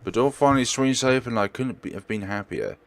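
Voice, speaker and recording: A man's voice: medium pitch, fast talker. A man narrating a horror game as a let's player, reading the script aloud theatrically and adding his own commentary.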